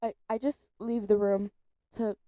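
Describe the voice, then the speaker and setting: A woman's voice: mid-range voice, flat delivery, measured pace, dark tone, no echo, highs cut off. Congested young woman, short casual replies. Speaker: podcast co-host. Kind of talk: casual chat.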